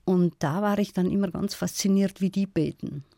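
A middle-aged woman with a South Tyrolean accent, telling stories in a thoughtful, measured radio interview. Her voice is warm and mellow. It is recorded close and dry in a studio. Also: medium pitch, vocal fry, no room sound. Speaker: guest, folklorist.

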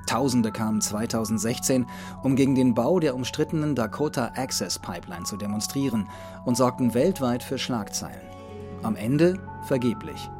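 A man narrating a scripted travel documentary as the journey's own reporter. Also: medium pitch.

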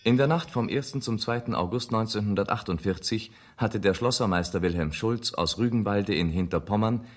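A man's voice: on the low side, brisk, few pauses, lightly acted. A man narrating a radio drama in a dry, matter-of-fact tone.

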